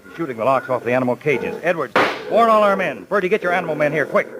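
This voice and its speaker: An actor playing a tough, commanding counterspy chief who gives clipped, urgent orders in 1940s radio style. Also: male voice, fairly high pitch, fast talker, lightly acted, low-quality recording.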